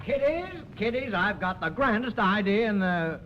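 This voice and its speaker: An actor playing an excitable, pushy, fatherly Broadway producer, flustered and effusive, in 1940s radio comedy style. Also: high voice, moderate pace, lo-fi sound, slight background noise. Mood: excited, flustered. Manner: effusive, flustered, calls adults children.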